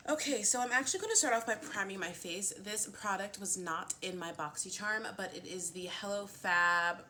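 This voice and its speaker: A woman's voice: medium pitch, moderate pace, pushed and bright, a little hiss or hum. A woman vlogging a casual get-ready-with-me chat, a little sniffly, full of fillers like "um" and "like". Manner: chatty, lots of fillers and "like". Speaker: beauty vlogger.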